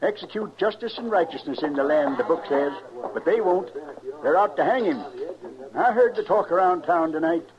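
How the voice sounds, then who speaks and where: A man's voice: a little high, animated, normal speed, close to an everyday voice. An actor playing a stubborn, pious old frontier father in a radio western, with a rustic, solemn, defiant delivery. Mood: defiant, righteous. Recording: muffled vintage radio recording, crowd behind.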